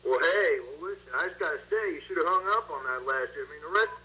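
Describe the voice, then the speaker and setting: A man calls in to a live show with a very sharp, somewhat high voice. He talks in a halting, mock-earnest way, needling as he goes. He is heard over a tinny telephone line. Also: lively intonation, breathy edge. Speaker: prank caller. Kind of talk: casual phone call to a live show.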